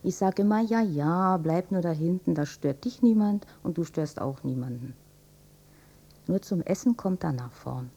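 An older housewife in a radio play. Her voice is husky and mellow, on the low side, and she talks drily and with resignation, gently mocking the husband she lives beside. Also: expressive, normal speed, highs cut off. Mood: dry, resigned. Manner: dry, matter-of-fact, resigned. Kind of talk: German radio drama acting.

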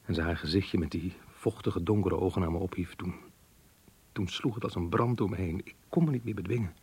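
An actor playing a remorseful middle-aged man reading out his diary confession in a radio play, grave and measured. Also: a little mellow, exaggerated voice. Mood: remorseful, resigned. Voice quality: low, husky. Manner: grave, measured, literary diction. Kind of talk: Dutch radio play monologue.

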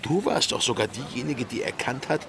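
An actor playing a languid, opium-dazed pirate captain in an audio drama, drawling in a teasing, careless way. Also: male voice, mid-range voice, animated, a little fast, creaky, noisy background. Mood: dazed, vague.

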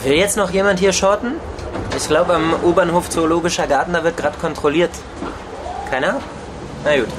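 An actor playing a casual street hawker calling out to passers-by in a radio drama. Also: male voice, a little high, fairly even intonation, normal speed, pauses often, lightly acted. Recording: very noisy urban street.

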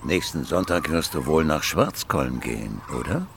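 An actor playing a sly, commanding mill master in a German radio play, with a gravelly, drawling voice. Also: male voice, very deep voice, big pitch swings, normal speed, a little hiss or hum, full character voice.

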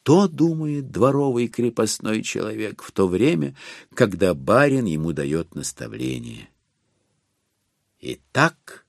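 A man narrating a classic novel as a theatrical audiobook, in a wry, expressive way with deliberate pauses. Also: fairly low pitch, creaky, no echo.

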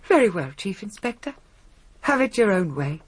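A middle-aged actress playing a bold, haughty aristocrat in a British radio drama, with an upper-class English accent and a theatrical delivery. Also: female voice, medium pitch, very animated, normal speed, breathy edge. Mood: defiant, exultant. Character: bold, haughty aristocratic mother, secretly a crime boss. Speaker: actor in a radio mystery drama.

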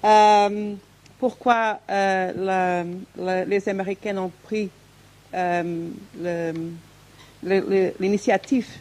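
Portuguese-accented woman at a conference q&a, slow and stumbly, lots of ums, mellow voice. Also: medium pitch, steady tone. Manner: hesitant, many fillers and restarts, searching for words. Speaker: conference speaker answering questions. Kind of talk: halting academic Q&A answer.

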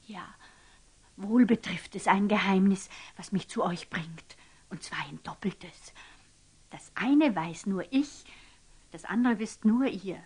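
An actress playing a sly, secretive go-between in a classical German radio drama, coaxing in a hushed, conspiratorial way in standard German. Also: female voice, mid-range voice, takes it slowly, slightly rough.

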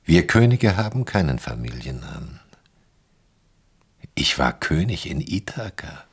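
An aging king and war hero with an extremely low, creaky voice. He speaks grandly and wearily in radio drama dialogue, his melody swinging widely between boast and reflection. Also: big pitch swings, measured pace, exaggerated voice. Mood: boastful. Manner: grandiloquent, reflective, world-weary. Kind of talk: German radio play dialogue.

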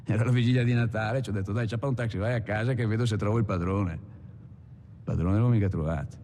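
An actor playing a boastful Milanese gangster, telling an anecdote in colloquial first-person testimony with dialect words and a Milanese accent. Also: male voice, quick pace, warm tone, natural voice. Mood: cocky, nostalgic. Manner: colloquial raconteur, dialect words, quotes others. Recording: reverberant hall, background noise and rumble.

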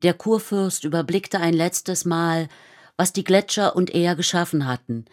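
Grave, low-pitched woman narrator. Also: unhurried, a little mellow. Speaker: narrator of a radio play. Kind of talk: literary scripted narration.

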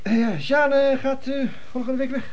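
Flustered, high-pitched, bossy father. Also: fairly even intonation, normal speed, a little mellow, highs cut off. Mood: awkward. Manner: hesitant, stammering, bossy when alarmed. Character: flustered, authoritarian family father, middle-aged. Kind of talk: radio play acting.

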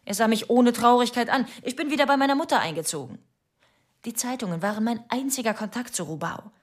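A distraught wife presses her accusations in a radio play. Her voice is rather high, urgent and insistent. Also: animated, fast talker.

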